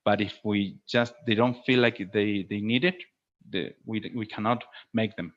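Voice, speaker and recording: A man's voice: fairly low pitch, steady tone, moderate pace. A man working as a teacher trainer answers questions in an online webinar, explaining and often checking with "okay?". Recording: dull, lo-fi online call audio.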